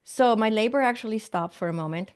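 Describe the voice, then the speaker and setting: A woman's voice: a little high, quick pace. A middle-aged woman from Sweden, a physician and medium, telling her story earnestly in an interview with light laughs.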